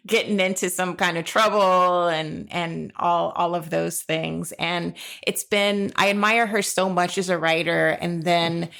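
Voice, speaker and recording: A woman's voice: fairly low pitch, steady tone, unhurried, vocal fry, a bit bright. A woman co-hosting a literary podcast, chatting casually and with enthusiasm and appreciation. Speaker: podcast co-host and author.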